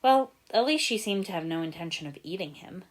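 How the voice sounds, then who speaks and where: A woman narrating a story from a script in an expressive storytelling style. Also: fairly low pitch, a little fast, rough recording. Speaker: fan fiction narrator.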